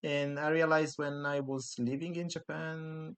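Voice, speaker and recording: A man's voice: fairly high pitch, little pitch movement, unhurried, clean tone. Podcast host, a man with Italian as his first language, thoughtful casual chat. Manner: reflective, with frequent restarts.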